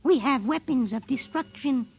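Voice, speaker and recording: A woman voicing a tiny, sly alien doll with a creaky childlike voice, haughty and precise, in a 1950s radio drama. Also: high-pitched, moderate pace, darkish tone, highs cut off. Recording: old 1950s radio recording with music.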